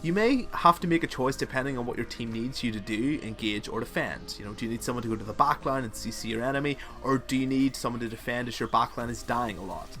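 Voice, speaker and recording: A man's voice: medium pitch, fast talker, few pauses, pushed and bright, faint music behind. A young man with a Northern Irish accent, casually talking through a game strategy guide with plenty of fillers. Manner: casual, rambling, with frequent fillers. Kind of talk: game strategy tutorial voice-over.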